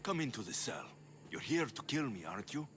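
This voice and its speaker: Defiant, lively-voiced warrior hero. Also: male voice, mid-range voice, fairly quick. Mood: defiant, sardonic. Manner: blunt, dry, challenging. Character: defiant, sardonic male ancient Greek warrior hero. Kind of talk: dramatic video game cutscene acting. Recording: music behind the voice.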